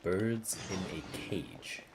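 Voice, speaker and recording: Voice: low-pitched, very slow, over music. A game streamer giving casual, informal, slangy live commentary and reading game text aloud.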